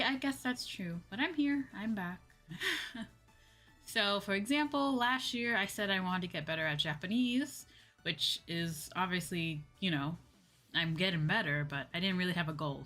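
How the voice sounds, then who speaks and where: A woman's voice: lowish voice, fairly bright tone. A woman hosting a livestream as a VTuber, talking casually in a chatty, giggly way. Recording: background music behind voice. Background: music.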